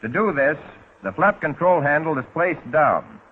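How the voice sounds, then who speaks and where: A man's voice: a little high, sing-song, normal speed, slightly rough. An American man narrating a 1940s instructional film in a scripted, instructional way with folksy, wry touches. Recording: muffled, phone-like 1940s film soundtrack.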